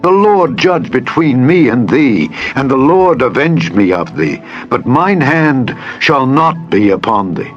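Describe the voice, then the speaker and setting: A man performs a young warrior of scripture drama, solemn and emphatic, pleading his innocence with fervour. His words come very slowly, through lo-fi sound with music behind. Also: mid-range voice, expressive, lightly acted. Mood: solemn appeal. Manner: declamatory, emphatic, solemn archaic phrasing. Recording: dull lo-fi audio with music.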